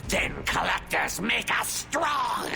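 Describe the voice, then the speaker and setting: Shouting, gravelly reptilian alien thug. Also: male voice, sits high, sing-song, slow pace, faint music behind, heavily acted. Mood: menacing. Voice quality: rasping, gravelly snarl. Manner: broken, clipped, menacing speech. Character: snarling reptilian alien thug, crude and hostile. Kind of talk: video game villain acting.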